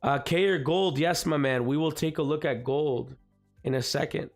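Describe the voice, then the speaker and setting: A man with a slightly raspy voice from the cold hosting a live trading stream, chatting casually and filling gaps with "guys" and "you know what I mean". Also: on the high side, normal speed. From Canada. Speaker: forex trading livestream host. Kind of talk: live market commentary. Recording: faint music behind.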